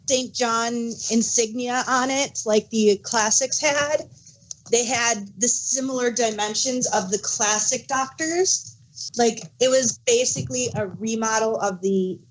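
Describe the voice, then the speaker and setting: A woman's voice: on the high side, a little hiss or hum, poor audio. A woman co-hosting a casual fan discussion, explaining things in a hesitant way and often correcting herself mid-thought. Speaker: podcast co-host.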